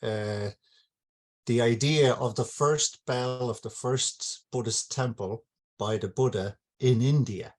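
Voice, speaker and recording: A man's voice: mid-range voice, slow, darkish tone. An Irish man, an author, talking informally and reflectively to a gathering, explaining with some hesitation. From Ireland. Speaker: author hosting his book launch. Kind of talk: informal talk to an online gathering.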